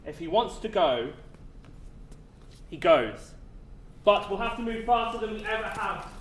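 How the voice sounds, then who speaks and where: A man's voice: sits high, expressive, measured pace. A man playing the commanding, decisive head of an afterlife office in a stage play, speaking with declamatory authority in a roomy space. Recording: echoey stage, faint background noise.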